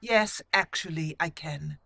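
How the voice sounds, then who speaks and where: A woman hosting a roleplay let's play, voicing dialogue deliberately and theatrically and adding her own commentary. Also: low voice, gravelly, sharp tone, no echo. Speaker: gaming channel host.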